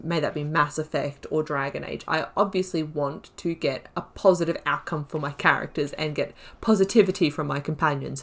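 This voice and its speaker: A woman giving casual, reflective game commentary, chatty and rambling as she thinks aloud, repeating and stressing words. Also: low voice. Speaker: host of a gaming playthrough series.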